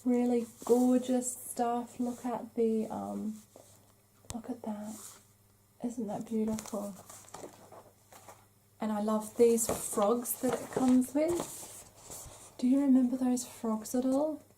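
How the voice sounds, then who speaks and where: Woman, craft vlog host, casual chat, gushing enthusiasm, soft mellow tone, noisy recording with clicks. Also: fairly high pitch, little pitch movement, slow pace, pauses often.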